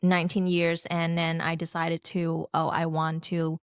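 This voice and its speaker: A woman's voice: deep voice, monotone, unhurried, smooth, dry recording, studio quality. A young woman from Vietnam, a Vietnamese speaker, chatting as a podcast guest in an easygoing way with many fillers. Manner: easygoing, laughing, lots of fillers. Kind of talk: casual podcast chat.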